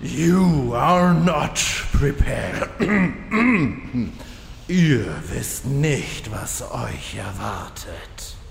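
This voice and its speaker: An actor playing a pompous demon lord in a comedy audio drama, gravelly and drawn-out, who keeps getting interrupted. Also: male voice, medium pitch, animated, slight background noise, full character voice. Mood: menacing. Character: ominous demon lord quoting his own boast. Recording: roomy echo with long reverb.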